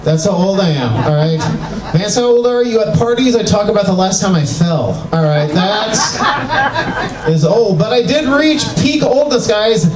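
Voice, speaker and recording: An older man doing a self-mocking, animated stand-up comedy set in a small venue. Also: high-pitched, moderate pace, background noise. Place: small venue with live audience. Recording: echoey, distant club recording with crowd.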